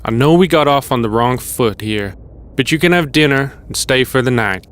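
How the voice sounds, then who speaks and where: An actor playing a wary older male survivor in an audio drama, gruff and folksy. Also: mid-range voice, animated, moderate pace, a bit bright, lightly acted. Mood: guarded, conciliatory. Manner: folksy, plain-spoken. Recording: clear background noise.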